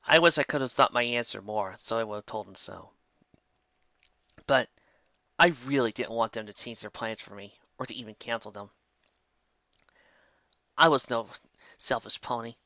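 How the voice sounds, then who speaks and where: Bright-voiced reader of a pony story. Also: medium pitch, moderate pace, pauses often, no room sound, lo-fi sound. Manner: plain, steady reading with small stumbles. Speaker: fan fiction reader. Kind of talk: first-person story reading.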